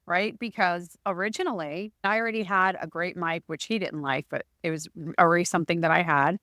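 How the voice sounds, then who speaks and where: A woman co-hosting a podcast, with a loud, booming voice and an energetic, jokey way of talking in casual chat. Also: a little fast, no echo, clean studio sound. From Arizona, USA. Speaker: podcast co-host.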